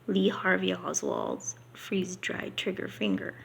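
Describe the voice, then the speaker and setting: A woman reading a book aloud unrehearsed, halting here and there and slipping into casual asides. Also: lowish voice, huge pitch swings, creaky, a bit bright, slight background noise.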